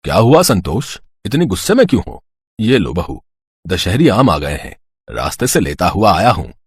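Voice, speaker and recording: A man's voice: on the low side, big pitch swings, normal speed, slightly rough, no room sound, heavily acted. A man voicing a mild middle-aged husband and father-in-law in a cartoon moral story, patient but chiding.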